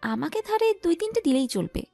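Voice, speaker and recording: A woman's voice: very high-pitched, very animated, a little fast, creaky, warm tone, full character voice. A woman playing a cheeky, whiny young best friend in an audio story, given to playful, exaggerated complaining. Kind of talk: Bengali audio story acting. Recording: music behind the voice. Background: music.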